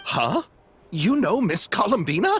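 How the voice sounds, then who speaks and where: A man's voice: high-pitched, very animated, measured pace, noisy background. A man voicing an earnest, romantic young film director in a video game, speaking in flowery, effusive phrases. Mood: eager. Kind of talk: video game character dialogue.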